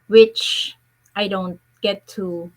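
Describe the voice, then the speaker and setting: A middle-aged woman with a Filipino accent, a children's book author chatting in an interview, lively and talkative. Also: mid-range voice, drawn-out words, rough recording. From Philippines. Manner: chatty, lively, quotes people in animated voices. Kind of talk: casual interview conversation.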